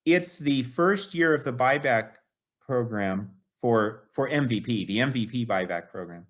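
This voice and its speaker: A man in his late fifties with a mid-pitched, ordinary voice talks eagerly as a guest. He rambles, piles up fillers and restarts his sentences as he gets excited. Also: a little slow. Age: 50s. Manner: enthusiastic, rambling, many fillers like "you know". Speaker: guest collector. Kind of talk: casual interview chat.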